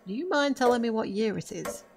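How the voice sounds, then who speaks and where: An actress playing a calm, protective Victorian lady witch in an audio drama, polite but firm. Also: on the high side, a little fast, background noise. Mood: gentle, questioning. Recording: loud music under the voice.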